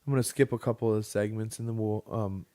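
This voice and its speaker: A man's voice: on the low side, fairly even intonation, fast, mellow, dry recording. Teasing man co-hosting a podcast. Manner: teasing, blunt. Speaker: podcast co-host. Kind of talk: casual chat.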